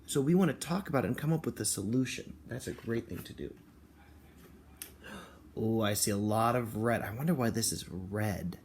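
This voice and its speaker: A man speaking as a kindergarten teacher, reading and explaining to young children in an expressive, encouraging way with simple phrasing. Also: lowish voice, moderate pace, a little hiss or hum. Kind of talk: picture book read-aloud and talk to children and parents.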